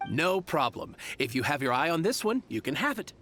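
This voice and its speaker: Highly expressive sentimental merchant. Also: male voice, a little fast, music in the background. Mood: obliging. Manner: hesitant, wistful, expressive. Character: sentimental, polite male jade merchant. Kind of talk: video game voice acting.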